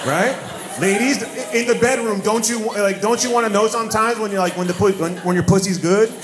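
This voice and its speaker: A man's voice: high voice, a little fast. An American man doing stand-up crowd work on stage, riffing loosely with lots of profanity, laughter and restarts. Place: comedy club stage. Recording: live club recording with noisy background.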